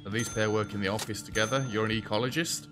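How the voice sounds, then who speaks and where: A young British man with a rather deep, low-pitched voice gives casual let's-play commentary into a headset mic at home. He is chatty and self-deprecating and talks back to the game's characters. Faint music plays behind him. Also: fairly even intonation, fast. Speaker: gaming video host.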